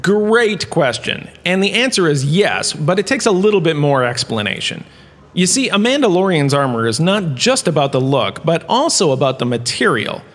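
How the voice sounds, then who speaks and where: Theatrical, vain professor, played by a man, swooping pitch. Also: very animated, normal speed. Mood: lecturing. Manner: dramatic, showy, pompous. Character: vain, theatrical male professor lecturing on galactic history. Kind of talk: scripted comic lecture. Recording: long hall-like reverb.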